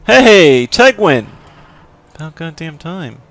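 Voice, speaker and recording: A young man giving casual, rambling commentary while he plays a video game, with lots of fillers and sudden shouts. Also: a little high, very animated, slow pace. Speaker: gameplay video host. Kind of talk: casual let's play commentary.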